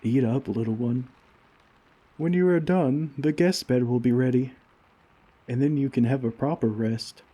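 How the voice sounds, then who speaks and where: A man's voice: mid-range voice, unhurried, long pauses, dark tone, breathy edge, poor audio. A man playing a kindly giant in an ASMR comfort roleplay, speaking formally and tenderly to a tiny friend. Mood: warm, reassuring. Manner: formal, courteous, tender, old-fashioned wording. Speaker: roleplay audio performer.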